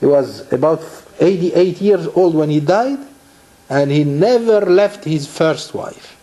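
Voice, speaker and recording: A man from Morocco delivers a Torah lecture in a mellow, fairly high voice. He often restarts his phrases and poses questions to his listeners. He sounds middle-aged. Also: animated, slow pace. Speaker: rabbi.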